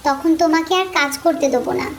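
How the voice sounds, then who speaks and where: A woman voicing a gentle, curious little village girl in a cartoon story, childlike and earnest. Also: high-pitched, fast, slight background noise, close to an everyday voice. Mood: earnest, affectionate. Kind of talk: cartoon moral story dialogue.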